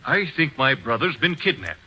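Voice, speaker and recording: A man's voice: a little high, big pitch swings, moderate pace, sharp tone, heavily acted, low-quality recording. An actor playing an earnest, concerned man in old radio drama. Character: worried, earnest middle-aged man. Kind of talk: 1940s radio acting.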